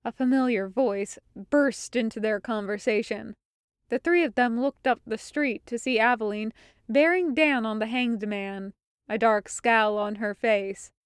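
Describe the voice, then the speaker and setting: A woman reading fiction aloud as a narrator, with expressive storytelling delivery. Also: a little high, measured pace, clean tone, no room sound, clean studio sound. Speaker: podfic narrator.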